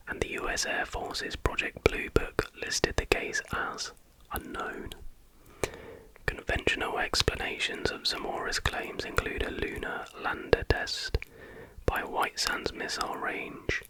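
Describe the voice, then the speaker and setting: An ASMR host speaking in a breathy, unvoiced whisper, reading aloud in an unhurried, informal way. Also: very high voice, rough recording.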